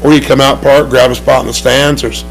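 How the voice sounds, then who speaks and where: A man with a hoarse voice and an Oklahoma accent, a race track promoter talking in a TV studio interview, upbeat and rambling with many restarts. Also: medium pitch, level tone, a little fast, soft background music, low-quality recording.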